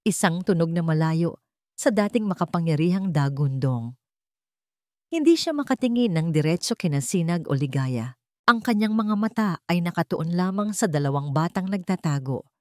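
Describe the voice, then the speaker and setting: A woman reading a scripted dramatic story as narrator, with measured, deliberate phrasing. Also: lowish voice, lively intonation, dry recording, studio quality.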